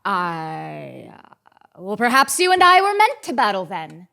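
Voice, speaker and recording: A woman's voice: mid-range voice, wildly swooping pitch, a little slow, vocal fry, pushed and bright, heavily acted. A woman acting a self-serious dragon slayer in a comic stage play, declaiming in mock-epic fashion. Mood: bluffing.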